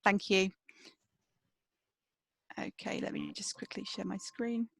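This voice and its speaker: A woman moderates a webinar and introduces the next speaker in a brisk, organized way. Her voice sits at a middle pitch. The sound is dry and close. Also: wildly swooping pitch, vocal fry, fairly bright tone, dry recording.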